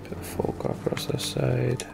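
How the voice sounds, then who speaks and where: A man hosting a let's play, muttering casual commentary as he thinks aloud, with mild swearing and a gravelly voice. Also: slow. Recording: game music behind voice. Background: game music.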